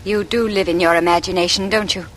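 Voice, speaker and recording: A woman's voice: on the low side, fairly even intonation, fast talker, lightly acted. A woman playing a shy, guarded, suspicious young woman with clipped, cool delivery in a 1940s radio thriller. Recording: faintly noisy vintage radio recording.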